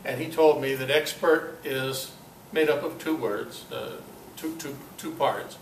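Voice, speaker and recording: An older man giving an informal, halting talk to a club, with a self-deprecating, dryly humorous manner. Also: mid-range voice. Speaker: guest speaker at a club meeting. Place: club meeting room, indoors. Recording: roomy, distant mic, noisy amateur recording.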